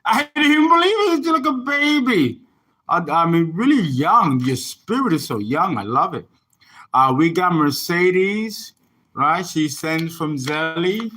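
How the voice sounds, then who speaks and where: A man's voice: high-pitched, sing-song, unhurried, bright, forceful tone. A man, an astrologer and tarot reader, chatting casually on a livestream and rambling as he reads viewer comments aloud.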